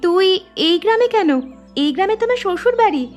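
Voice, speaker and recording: A woman's voice: very high-pitched, animated, fairly quick, a little mellow. A woman playing a cheerful, eager young married woman in a cartoon, chatting with family and friends. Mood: pleasantly surprised. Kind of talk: cartoon dialogue acting. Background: background music.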